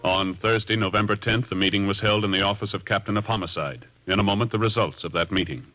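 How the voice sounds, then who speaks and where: Brisk American old-time radio announcer, male. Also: on the low side, low-quality recording. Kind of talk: scripted formal 1950s radio announcing. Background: loud music.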